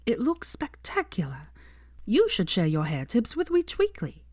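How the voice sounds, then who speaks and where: A woman voicing a fond, sensible young witch in an audiobook, earnest and gently chiding. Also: medium pitch, wildly swooping pitch, a little slow, husky, no room sound, clean studio sound, pitched above the performer's own voice, full character voice. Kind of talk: audiobook character voice.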